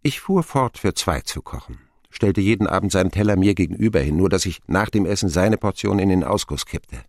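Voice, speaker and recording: Wistful grown man, very low voice, animated storytelling, radio drama narration of a lonely boyhood. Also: brisk, no echo, clean studio sound. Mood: wry.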